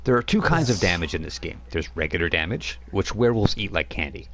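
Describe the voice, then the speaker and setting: Deep-voiced man running a tabletop game. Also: noisy background. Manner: steady, explanatory, halting with fillers. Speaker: game master.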